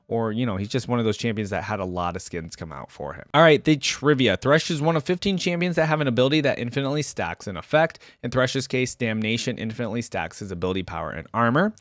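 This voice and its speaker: A dry, close-sounding recording of a man with a mid-range voice. He hosts a reaction video, reading text aloud and adding casual commentary. His delivery is conversational, as if thinking out loud. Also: mid-range voice, no echo.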